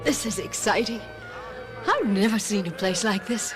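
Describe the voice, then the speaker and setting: A woman's voice: medium pitch, expressive, normal speed, lightly acted, low-quality recording. An actress playing a vain, determined mother eager for exclusive finds in a radio mystery drama. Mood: brisk, insistent. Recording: music behind a radio drama.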